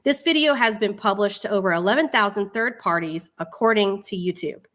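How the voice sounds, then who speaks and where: Woman, mid-pitched voice, livestream host, casual commentary, read-aloud passages, sarcastic and mocking delivery. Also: brisk, a bit bright. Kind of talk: live online commentary, reading a legal document aloud with casual asides.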